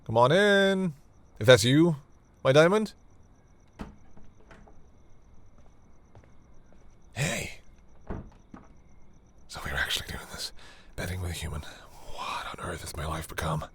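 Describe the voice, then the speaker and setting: A man's voice: medium pitch, sing-song, moderate pace, slight background noise. A man playing a proud but secretly tender vampire lord in an intimate, theatrical audio roleplay. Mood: embarrassed, teasing. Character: proud male vampire lord, flustered, secretly tender toward human lover.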